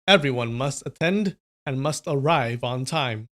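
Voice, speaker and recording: A man voicing a haughty male envoy of a martial arts school in a story, speaking in a deliberate, declamatory way. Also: mid-range voice, lively intonation, a bit bright. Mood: stern, threatening.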